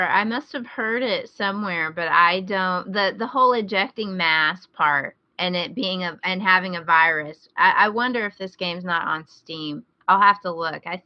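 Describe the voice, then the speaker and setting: A woman with a slightly low voice speaks as a podcast co-host. Her delivery is conversational and musing. The recording is very dry, with a somewhat muffled, lo-fi tone. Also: no echo. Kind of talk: casual chat, asking questions.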